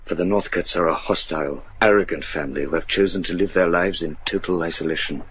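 An actor playing an earnest, troubled young man in a gothic radio play, speaking in a grave, formal, measured manner. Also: deep voice, steady tone, fairly bright tone, slight background noise. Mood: earnest warning. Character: earnest, troubled young man recounting his friend's fate. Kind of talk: dramatic storytelling in radio play.

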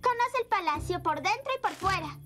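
A little girl in a cartoon. She is earnest and wistful, speaking in a very high voice over soft music. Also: expressive, fairly quick, heavily acted. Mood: worried, earnest. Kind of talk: cartoon acting.

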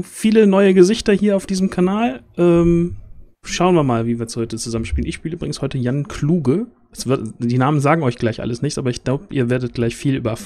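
Male stream host, thirties, slightly high pitch, chatty and halting introductions, dry headset sound. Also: darkish tone, no echo. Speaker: host of a tabletop role-playing stream. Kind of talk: casual livestream introduction. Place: small room at home, on a video call. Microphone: headset, close.

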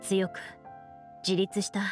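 An actress playing a composed, serious young woman duelist in a video game, speaking in a calm, measured, formal way. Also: medium pitch, faint music behind, close to an everyday voice. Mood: calm, reflective. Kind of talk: Japanese game voice acting.

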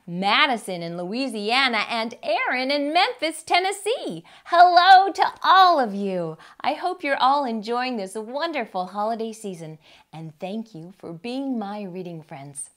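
A woman's voice: fairly high pitch, expressive, a little slow, a bit bright. A middle-aged woman hosting a children's storytime, reading aloud expressively with exaggerated emphasis and addressing the young listeners directly. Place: small furnished room indoors. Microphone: lapel microphone.

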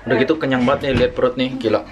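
A young man chatting casually and excitably as a vlogger, speaking into a handheld camera at arm's length. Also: mid-range voice, very fast, dull audio. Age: young adult. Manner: excitable, exclaiming. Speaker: vlogger, host. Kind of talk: casual travel and food vlog chat. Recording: loud music behind the voice.

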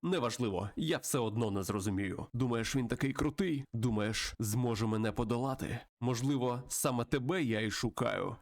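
A man's voice: mid-range voice, moderate pace, lightly acted. A man voicing a menacing, arrogant raven villain from a cartoonish western game, speaking in theatrical threats. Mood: scornful.

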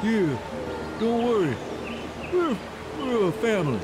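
A man's voice: sits high, very animated, a little slow, heavy background noise. An actor playing a simple, good-natured male sidekick who agrees along, in comic game cutscene style. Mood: agreeable. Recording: loud music over the voice.

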